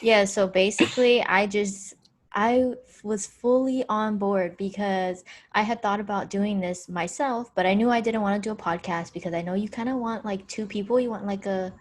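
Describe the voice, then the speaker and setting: A woman's voice: medium pitch, a little mellow. Chatty young woman podcast co-host. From Hawaii. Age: 20s. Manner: laid-back, chatty, laughs often. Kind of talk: casual chat.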